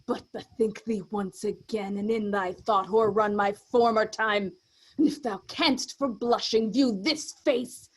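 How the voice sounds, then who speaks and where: A woman playing a weary, proud, embattled duke in a staged reading of Shakespearean verse, grave and breathy. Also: fairly high pitch, low-quality recording. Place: online video call.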